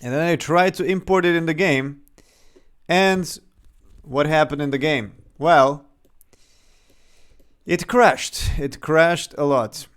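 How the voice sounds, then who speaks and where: A man's voice: a little high, takes it slowly, long pauses, fairly bright tone, clean tone. A young man from Serbia with a slight Serbian accent, casually thinking aloud and chuckling as he talks through his game development on a livestream.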